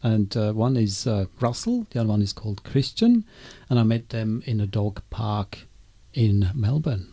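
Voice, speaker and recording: A man's voice: fairly low pitch, lively intonation, moderate pace, mellow, husky, dry recording. A man from Switzerland with a Swiss German accent, hosting a conversational podcast interview.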